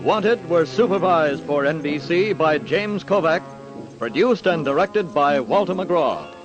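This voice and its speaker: A man's voice: on the high side, expressive, measured pace. An American man doing formal mid-century radio announcing. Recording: dull vintage radio, loud music.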